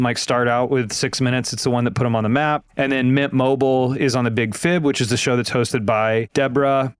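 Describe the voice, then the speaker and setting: A man hosting a podcast in a conversational, informal and enthusiastic way. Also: medium pitch. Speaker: podcast host. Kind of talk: conversational podcast hosting about audio advertising.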